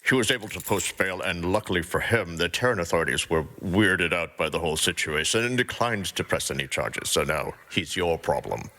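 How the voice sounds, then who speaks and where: Male space police officer, acted by a man, lowered voice, dry, official, matter-of-fact, fan fiction reading. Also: lively intonation, a little fast, few pauses, sharp tone, exaggerated voice.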